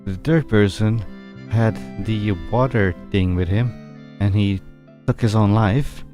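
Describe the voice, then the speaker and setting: A man giving casual, halting, wry commentary as he plays a video game. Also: fairly low pitch. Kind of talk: casual game commentary, sometimes reading dialogue aloud. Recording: game music behind the voice. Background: game music.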